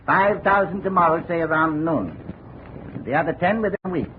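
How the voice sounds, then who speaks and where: A man's voice: on the high side, normal speed, natural voice. A man as a sly radio-play private eye, tinny sound. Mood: cool bargaining. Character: shady male private investigator, coolly baiting a blackmail deal. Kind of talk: 1950s radio detective drama acting. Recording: narrow, tinny, hissy old radio recording.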